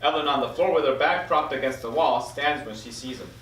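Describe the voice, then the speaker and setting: A man's voice: medium pitch, fairly quick, fairly bright tone. A man reading stage directions as the narrator of a screenplay table read. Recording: echoey room, distant mic, faint noise.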